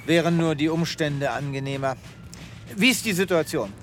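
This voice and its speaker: A man's voice: fairly high pitch, normal speed, faint music behind, natural voice. Man acting a pompous heroic space veterinarian. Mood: upbeat, brisk. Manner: pompous, theatrical. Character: self-important, restless male space veterinarian, smugly heroic. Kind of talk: comedic sci-fi audio drama acting.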